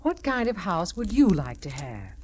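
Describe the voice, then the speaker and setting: A woman's voice: on the low side, big pitch swings, normal speed, darkish tone. Lilting, fussing storybook mother pig. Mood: cautioning. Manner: admonishing, motherly. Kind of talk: 1960s children's storybook record acting. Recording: noisy old 1960s children's record.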